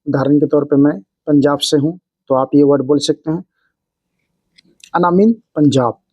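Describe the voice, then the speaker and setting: A man's voice: a little high, a little mellow, slightly rough, no room sound. A man hosting an instructional language lesson, explaining phrase by phrase and repeating each example. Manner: repetitive, explanatory, phrase-by-phrase delivery. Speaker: language teacher and video host.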